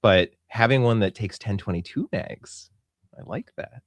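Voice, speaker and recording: A man with a Canadian accent and a fairly low voice talks casually as a podcast co-host. His delivery is emphatic and colloquial, and the sound is dry and close. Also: a little slow, dry recording. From Saskatchewan, Canada. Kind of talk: casual chat.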